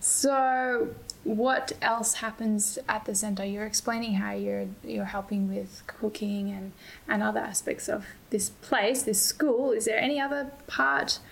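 A woman's voice: mid-range voice, fairly even intonation, a little slow, vocal fry, low-quality recording. A woman hosting an interview program at a youth conference. She asks questions and keeps her guest going with frequent short encouragements. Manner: friendly, encouraging, frequent affirmations. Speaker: show host, interviewer.

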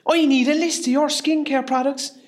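A woman acting in a radio play as a brisk, gossipy, kindly hospital nurse. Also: high voice, steady tone, a little mellow, close to an everyday voice. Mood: brisk, playful. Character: chatty, nosy, kindly female hospital nurse, a little starstruck.

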